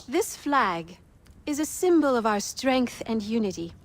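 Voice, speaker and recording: Gracious, higher-voiced colonial townswoman. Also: big pitch swings, unhurried, exaggerated voice. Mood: proud, grateful. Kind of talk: video game dramatic acting.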